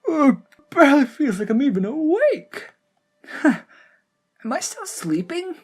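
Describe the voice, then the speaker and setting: Casting: gleeful gnome showman, played by a woman, very high voice, swooping and exclamatory, rambling fantasy audio-drama chatterbox. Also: sing-song, slow, exaggerated voice. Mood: giddy, just woken.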